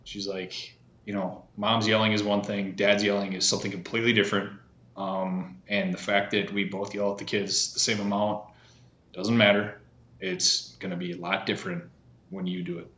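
A man in his thirties chatting casually as a podcast guest, halting with many fillers and restarts. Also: fairly low pitch, level tone, bright, forceful tone, reverberant. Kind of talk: casual conversation.

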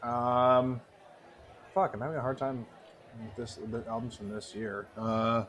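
A man with a mid-pitched voice chats casually as a podcast co-host. He talks in loose, emphatic bursts, doubling back on phrases and dropping swear words freely. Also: level tone, a little slow, fairly bright tone, slight background noise. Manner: profane, hesitant, restarts phrases emphatically. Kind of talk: casual chat about music.

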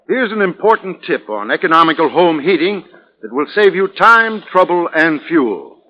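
Lively-pitched 1940s radio advertising spokesman. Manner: deliberate, instructive phrasing. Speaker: advertising spokesman, home heating expert. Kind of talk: scripted 1940s radio advertising talk, instructional. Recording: muffled vintage 1940s radio recording.

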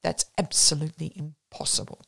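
A hoarse, brandy-soaked young man in grief, voiced by a woman reader, rambling in a low, maudlin way. The recording is close and dry. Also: fairly even intonation, fairly quick, a bit bright, no echo, exaggerated voice. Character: grieving, drunk young male poet.

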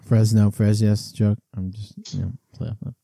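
A man's voice: lowish voice, level tone, moderate pace, creaky, mellow, no echo, clean studio sound. A man hosting a beer podcast, joking in casual chat and often restarting his sentences. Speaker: podcast host.

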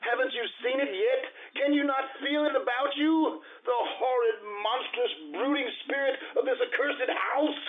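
Tormented, sickly male aristocrat, high voice, unstable and theatrical, for radio horror melodrama; tinny vintage sound. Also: fairly even intonation, moderate pace, few pauses. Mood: anguished, raving. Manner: theatrical, volatile, swinging from brooding to frantic outbursts. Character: morbid, unstable, ailing aristocrat tormented by dread. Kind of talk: old-time radio melodrama acting. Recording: tinny, narrow-band hissy radio-style recording.

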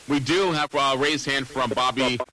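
A man's voice: medium pitch, steady tone, moderate pace, sharp tone, low-quality recording. A man hosting a casual radio talk show, chatting informally.

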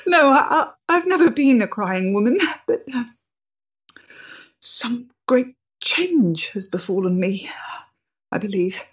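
An actress playing a proud, emotionally fragile 1890s woman in love, with a measured, theatrical way of talking in a period stage drama. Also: medium pitch, slightly rough, dull audio. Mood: overwhelmed, tearful.

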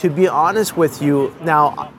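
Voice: mid-range voice, measured pace. An interviewer, not a native German speaker, asking about language teaching with eager, affirming remarks and repeated words. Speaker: interviewer, host. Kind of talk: casual interview conversation.

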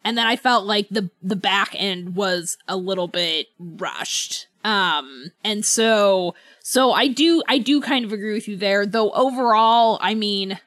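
A woman's voice: medium pitch, a little slow, few pauses, fairly bright tone, no echo. A woman co-hosting a book club podcast, talking casually and conversationally as she qualifies her opinions. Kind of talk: casual book discussion chat.

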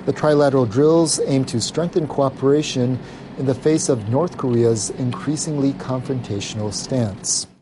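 Guy reading scripted news, mid pitch, noticeable vehicle-like noise behind him. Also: normal speed. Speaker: news reader.

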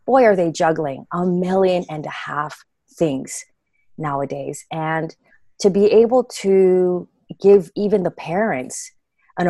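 A woman being interviewed about reading children's books online, talking in an earnest, expressive way and laughing easily. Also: mid-range voice, no echo, studio quality. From United States. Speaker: guest, children's book reader. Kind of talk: casual interview answers.

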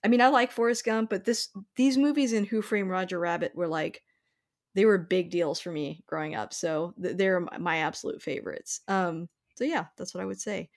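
A woman's voice: on the low side, fairly even intonation, normal speed. A woman hosting a podcast, chatting casually and enthusiastically about films. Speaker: podcast host.

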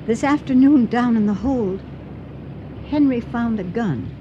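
An actress playing an older, anxious and inquisitive wife in a radio drama, with a probing, intent delivery. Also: female voice, on the high side, measured pace, warm tone, lo-fi sound, natural voice. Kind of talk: 1980 radio drama acting. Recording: noisy old radio drama recording.

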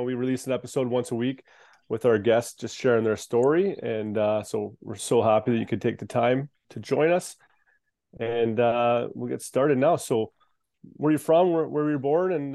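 A man co-hosting a podcast, asking relaxed questions with plenty of "uh" and "you know". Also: medium pitch, normal speed, no room sound, clean studio sound. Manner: relaxed, filler-heavy questions. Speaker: podcast co-host. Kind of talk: casual interview questions.

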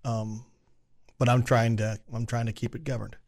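A man co-hosting a casual sports radio show, reading trivia aloud with chuckles and admitting he gets loud when excited. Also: medium pitch, moderate pace. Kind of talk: casual sports talk banter.

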